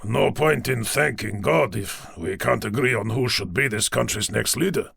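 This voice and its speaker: Man doing a curt argentinian police general, gravelly, impatient. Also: medium pitch, moderate pace, fairly bright tone, no echo, exaggerated voice.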